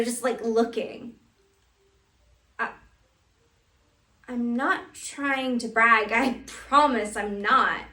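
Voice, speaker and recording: A woman's voice: high-pitched, a bit bright, full character voice. A woman playing a cocky thirteen-year-old girl in a stage monologue, boastful and emphatic, with teenage slang. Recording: roomy echo, distant mic, faint noise.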